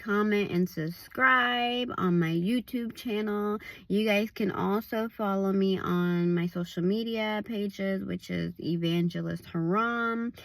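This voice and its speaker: Woman, evangelist, raspy gravelly voice, slow unhurried delivery, casual devotional chat, dry close sound. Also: mid-range voice, dry recording. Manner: loose and conversational, many "like"s and restarts. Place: outdoors at a park overlook.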